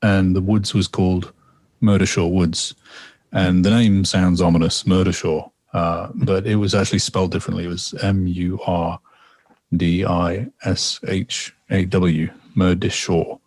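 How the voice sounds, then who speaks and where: Man, very deep voice, dry close sound, rambling, reflective, podcast guest. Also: level tone, a little slow, darkish tone, dry recording. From England. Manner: rambling and reflective, with frequent repetitions and fillers. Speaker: guest storyteller and artist. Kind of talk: casual podcast conversation, telling a personal story.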